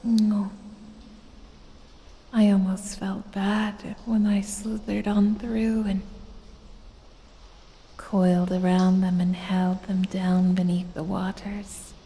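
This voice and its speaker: A woman voicing a sinister lamia, a predatory snake-woman, in breathy whispers with a falsely sweet, possessive menace for an ASMR audio roleplay. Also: mid-range voice, little pitch movement. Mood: gloating, cruel. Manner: drawn-out, softly taunting, falsely sweet, possessive. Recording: long cavernous reverb.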